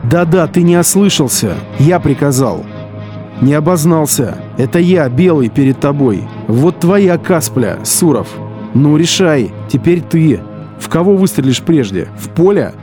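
An actor playing a hardened collaborator squad leader, narrating in first person and taunting others in a harsh, contemptuous voice, with music underneath. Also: male voice, on the high side, lively intonation, measured pace, warm tone. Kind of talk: radio drama acting. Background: music score.

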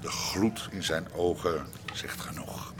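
An actor playing a boastful, messianic convict who preaches grandly and talks about himself by name in a radio play. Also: male voice, low voice, takes it slowly. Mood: haughty, preaching. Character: burn-scarred, boastful, messianic male prisoner with fascist leanings. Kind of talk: Dutch radio play acting. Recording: noisy radio drama recording.

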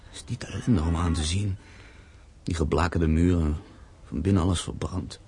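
A man's voice: sits low, fairly even intonation, normal speed, mellow, slight background noise. A man acting in a Dutch radio drama as a proud, grieving castle owner, speaking solemnly and emphatically. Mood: bitter, sorrowful.

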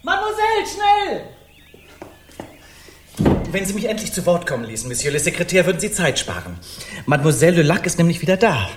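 An actor playing a brazen, eloquent Parisian artist in a German radio crime play, theatrical and mocking in manner. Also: male voice, high voice, huge pitch swings, brisk, pauses often, heavy background noise. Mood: excited, insistent.

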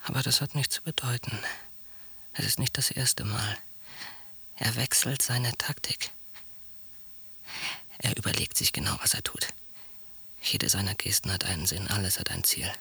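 An actor playing a watchful, paranoid psychiatric patient in a radio drama, muttering an obsessive inner monologue in a breathy, hissing, hushed voice. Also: male voice, on the low side, normal speed, long pauses, in a whisper, heavily acted. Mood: suspicious. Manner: hushed, obsessive, clipped sentences.

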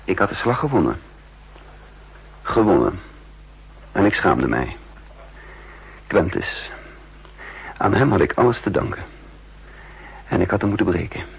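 An actor playing an intense, guilt-ridden double agent who narrates his own downfall in a 1960s Dutch radio drama, with a husky voice and deliberate dramatic delivery. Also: deep voice, sing-song, dull audio, heavily acted. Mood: grave, ashamed. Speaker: lead actor and first-person narrator.

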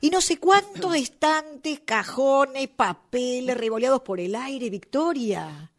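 A woman's voice: high-pitched, lively intonation, full character voice. A woman as a patient, firm female official. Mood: reasoning. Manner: measured, reasoning, formal. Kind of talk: radio drama workshop acting.

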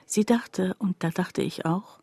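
A husky, confiding woman narrator. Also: lowish voice, mellow, dry recording, exaggerated voice. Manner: confiding, deliberate, addressing the listener directly. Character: reflective woman narrator recalling her destitute friend. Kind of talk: intimate radio drama monologue.

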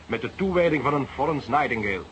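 An actor playing an earnest junior police detective who reports and gently protests, in a Dutch radio drama. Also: male voice, medium pitch, expressive, quick pace, close to an everyday voice. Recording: hissy, noisy radio drama recording.

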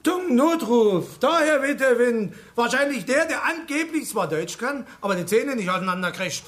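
An actor playing a gruff, dismissive village police chief in a radio drama, grumbling and blustering as he talks. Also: very high-pitched, animated, moderate pace, fairly bright tone. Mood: suspicious, surprised.

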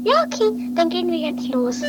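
A woman playing an excitable, anxious wife in an improvised amateur comedy radio play, chatty and theatrical. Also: very high-pitched, moderate pace, loud music behind the voice. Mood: eager. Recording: noisy 1980s amateur recording.

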